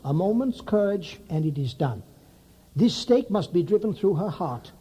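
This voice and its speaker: A man's voice: high voice, very animated, moderate pace, mellow, slightly rough, heavily acted. A man playing a solemn, commanding vampire hunter in a radio drama, with a grave, emphatic, theatrical delivery. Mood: tense, commanding.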